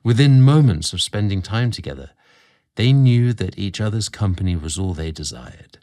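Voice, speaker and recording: A man narrating a scripted romantic story in a measured, expressive storybook manner. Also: on the low side.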